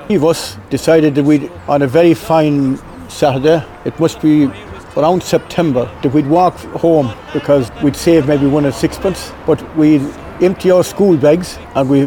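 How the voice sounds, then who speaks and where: Older irish man with a clare accent, mellow voice, rambly stories with little laughs. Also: on the high side. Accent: Irish, County Clare. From Ireland. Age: 70s. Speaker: interviewee. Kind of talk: reminiscing, casual storytelling.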